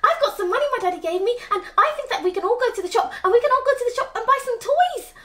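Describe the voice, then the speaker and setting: A woman voicing an excitable, fidgety young girl cow in a children's puppet story, gushing and repeating words eagerly. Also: extremely high pitch, fast, voice pushed up. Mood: bursting with excitement.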